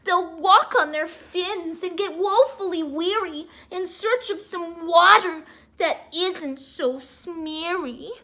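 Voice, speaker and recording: A woman's voice: extremely high pitch, slow, sharp tone, heavily acted. A woman voicing an indignant storybook creature who scolds and laments in an emphatic, theatrical way. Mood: indignant, mournful. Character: stern Lorax scolding a dirty old factory man.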